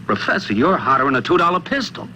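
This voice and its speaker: An actor playing an earnest, polite young assistant in 1950s American radio drama, colloquial and apt to stammer. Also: male voice, on the high side, big pitch swings, fast. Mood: puzzled. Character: earnest, deferential young male assistant to a professor. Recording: old 1950s radio recording, faint noise.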